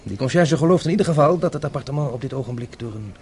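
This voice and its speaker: Faint noise sits behind a man acting as a self-assured French secret service officer in a radio play. He is formal and measured, with a medium-pitched voice and small pauses before he answers. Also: expressive, a little mellow, natural voice. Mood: composed, confident. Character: courteous, self-assured French intelligence officer named Guy. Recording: radio play with noisy background ambience.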